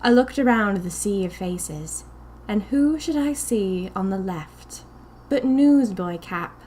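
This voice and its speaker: A woman narrating a first-person story for a fiction podcast, deliberate and confiding, as if to a diary. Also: mid-range voice, a little mellow, a little hiss or hum.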